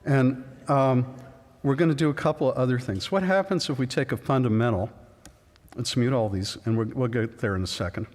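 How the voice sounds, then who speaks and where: Low-voiced man lecturing in a hall. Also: a little fast, a little mellow. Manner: explanatory, with frequent ums and restarts. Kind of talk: public science lecture with live demos. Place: auditorium. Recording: long auditorium reverb.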